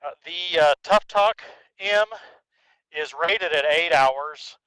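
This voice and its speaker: A man giving a casual, explanatory product review as host, in a halting way with check-in questions, recorded through an intercom headset microphone. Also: on the high side, bright, forceful tone, dry recording, poor audio.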